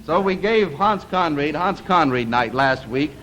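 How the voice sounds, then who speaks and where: A man's voice: a little high, lively intonation, measured pace, fairly bright tone, slight background noise, low-quality recording. A man hosting a live TV game show with chatty, jokey, off-the-cuff banter and a showman's delivery. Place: television studio with audience. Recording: old TV studio soundtrack, audience behind.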